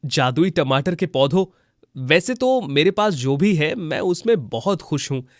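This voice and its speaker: A man plays a humble, good-hearted village husband in a children's moral cartoon. His voice sits a little high and sounds earnest, recorded dry. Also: expressive, unhurried, no echo. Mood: hopeful.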